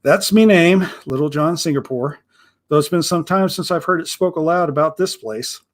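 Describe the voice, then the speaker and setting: A man voicing a gruff, wary old male pirate with a rumbling baritone and a salty pirate-style accent, calling everyone lad. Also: normal speed, lightly acted. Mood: gruff, wry. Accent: pirate-style rustic accent.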